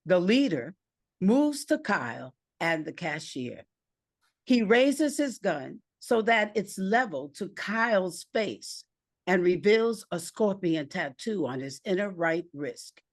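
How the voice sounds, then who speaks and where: A woman narrating a screenplay aloud with deliberate, measured phrasing. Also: lowish voice, big pitch swings, no room sound, clean studio sound.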